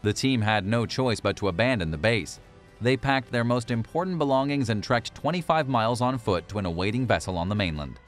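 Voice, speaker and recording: A man's voice: medium pitch, fairly quick, soft background music. A man reading scripted documentary-style narration for a list video.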